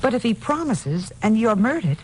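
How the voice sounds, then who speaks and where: An actress playing a sassy, level-headed secretary, dry and direct, in old radio detective drama style. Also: female voice, a little high, very animated, normal speed. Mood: direct, probing. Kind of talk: 1950s radio drama acting. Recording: noisy old radio recording.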